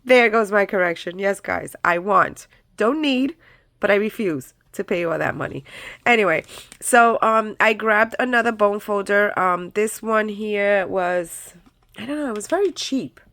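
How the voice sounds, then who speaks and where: A woman's voice: medium pitch, lively intonation, measured pace, fairly bright tone, no echo. A woman with a head cold and a nasal voice, chatting casually through a craft supply haul with lots of ums and likes. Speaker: crafting channel host. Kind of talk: casual haul video chat.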